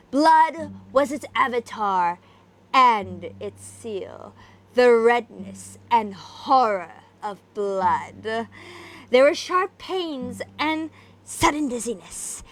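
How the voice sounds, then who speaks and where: High-voiced woman, slow theatrical storyteller. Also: a bit bright. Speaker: host and amateur story reader. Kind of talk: casual chat, then dramatic reading of a gothic horror story. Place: home workspace.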